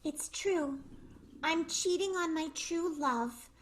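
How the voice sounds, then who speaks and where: A woman's voice: extremely high pitch, slow, faint music behind. A woman playing a flirtatious, lovelorn burlesque queen, dramatic and confessional, in an improvised radio play.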